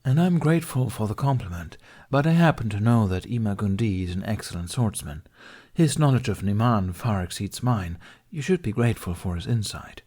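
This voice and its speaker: A man's voice: on the low side, expressive, fairly quick, darkish tone. Man playing a courteous, weary master swordsman. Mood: regretful, formal. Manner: polite, formal, hesitant. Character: weary, courteous older male master, gently regretful.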